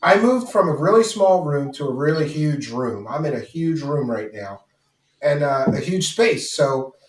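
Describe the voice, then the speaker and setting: A man talks casually on a podcast panel from a huge room, set back from the mic. His voice rings with strong room reverb, a bit higher than most men's. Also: animated, a little slow. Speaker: podcast guest. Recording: roomy reverb, distant from mic.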